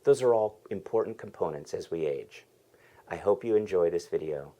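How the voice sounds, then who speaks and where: A man's voice: deep voice, lively intonation, normal speed, dark tone. A man hosting a podcast, earnestly reading a scripted introduction. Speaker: podcast host.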